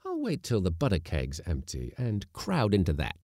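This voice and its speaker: A man's voice: sits low, wildly swooping pitch, moderate pace, mellow, no echo, full character voice. A man voicing a gloomy, prim ship's mate who talks with laboured sarcasm in a storybook sea tale. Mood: sarcastic.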